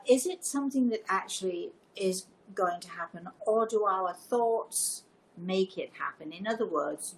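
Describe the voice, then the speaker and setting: An older woman hosting a casual livestream, chatting to viewers in a deliberate way with emphatic repetition. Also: medium pitch, creaky, poor audio. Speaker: live show host and storyteller. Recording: noticeable background noise.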